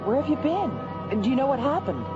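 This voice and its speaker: A woman's voice: high-pitched, big pitch swings, fairly quick. A woman in a radio drama playing a concerned, motherly member of a space station's command crew. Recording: dull lo-fi old radio recording. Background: loud music.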